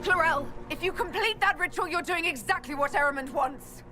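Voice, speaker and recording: Earnest female fantasy game protagonist, crisp forceful tone, high pitch, light music under it. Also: normal speed. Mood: firm, earnest. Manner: firm, earnest. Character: firm heroic female inquisitor leader. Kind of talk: dramatic video game acting.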